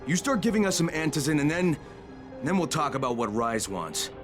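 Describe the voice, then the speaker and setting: An actor playing a weary, blunt but caring undercover agent in a video game, speaking naturalistically. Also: male voice, a little high, very animated, moderate pace, over music. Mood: insistent.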